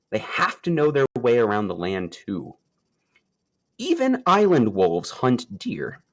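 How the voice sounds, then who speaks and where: A man reading a children's nature story aloud, with expressive, emphatic phrasing and dramatic pauses. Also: mid-range voice.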